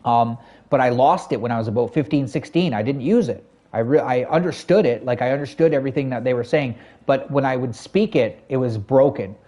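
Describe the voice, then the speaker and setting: A man's voice: mid-range voice, fairly quick, mellow. A Canadian man streaming a game, telling a long personal story to his chat in a rambling, casual way with many fillers. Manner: rambling, lots of fillers and self-corrections, talks to chat.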